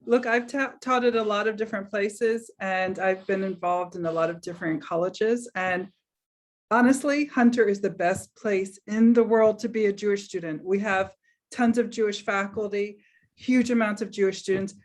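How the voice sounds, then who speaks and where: Female program director, mid pitch, enthusiastic welcome, speaking on a video call. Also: moderate pace. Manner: enthusiastic, upbeat.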